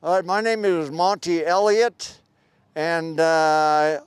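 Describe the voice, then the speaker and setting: A man in his seventies, a veteran, telling his own story in a plainspoken, rambling way with pauses and fillers. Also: a little high, clean tone, dry recording. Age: 70s. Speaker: veteran interviewee. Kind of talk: personal storytelling. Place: outdoor park event.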